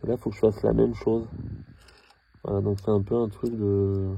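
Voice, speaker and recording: A man talking casually and haltingly through hands-on work, thinking aloud as he goes. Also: lowish voice, flat delivery, gravelly, mellow, dull audio, a little hiss or hum. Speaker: car mechanic hobbyist filming his own repair. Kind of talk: casual hands-on tutorial narration.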